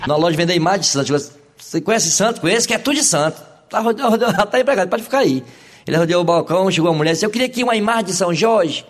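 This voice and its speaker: A man's voice: high voice, fast. A Brazilian man telling jokes as a comedian, animatedly acting out the dialogue as he goes. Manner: animated storytelling, acts out dialogue. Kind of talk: stand-up style joke telling.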